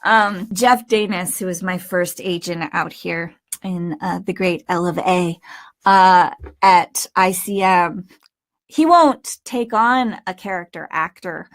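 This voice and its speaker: A woman giving an informal instructional talk as a voice acting coach, speaking emphatically with stressed pauses and asides. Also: on the low side.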